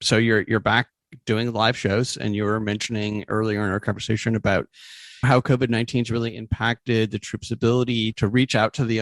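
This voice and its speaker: A man's voice: fairly low pitch, no echo. A man hosting a conversational interview, asking wordy, meandering questions. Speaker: interview host.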